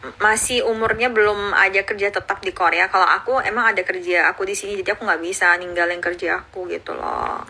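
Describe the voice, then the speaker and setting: Bright-voiced chatty woman. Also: mid-range voice, fairly even intonation, brisk, slightly rough. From grew up in Indonesia. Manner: chatty and cheerful, laughs often. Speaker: livestreaming YouTuber. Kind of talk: casual live chat answering viewer comments. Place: outdoors or at home in Seoul. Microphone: phone livestream.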